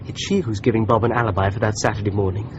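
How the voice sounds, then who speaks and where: Musing male sleuth, played by a man, calm inner voice, hiss under a dull radio-play recording. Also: lowish voice, very fast, natural voice. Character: thoughtful male amateur sleuth driving. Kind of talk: radio drama.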